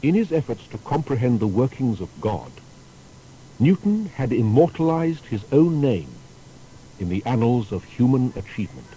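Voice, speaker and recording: A man's voice: medium pitch, normal speed, rough recording. A man narrating a scripted historical biography in a formal, storytelling manner. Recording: steady faint background noise.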